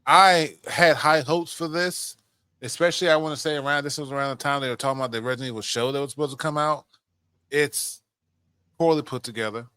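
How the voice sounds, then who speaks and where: A man with a bright, punchy voice chats as a podcast co-host. He is informal and slangy, doubling back on phrases, in a very dry recording. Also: fairly high pitch, fairly even intonation, fairly quick, clean tone, no room sound. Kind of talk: casual movie discussion chat.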